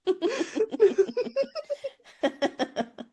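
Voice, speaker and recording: Cheeky woman with swooping, expressive pitch. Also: very slow. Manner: cheeky, candid, playful. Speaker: book club podcast co-host. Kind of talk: casual banter.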